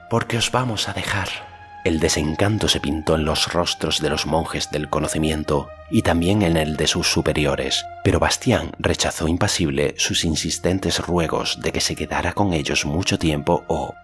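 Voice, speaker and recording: Very deep-voiced male storyteller. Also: fairly bright tone. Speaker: audiobook narrator. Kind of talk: scripted literary narration.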